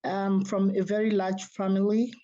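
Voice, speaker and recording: Soft-spoken woman, mellow and lo-fi. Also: medium pitch, little pitch movement, moderate pace. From Nigeria. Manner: soft-spoken, earnest, laughs often. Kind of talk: interview guest telling her story.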